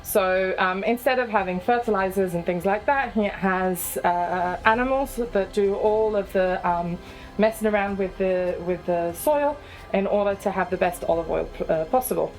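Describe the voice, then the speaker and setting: A woman's voice: mid-range voice, moderate pace, faint music behind, rough recording. Chatty woman guiding a farm tour. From southwest France. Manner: chatty, self-joking. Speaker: tour guide. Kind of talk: guided tour explanation.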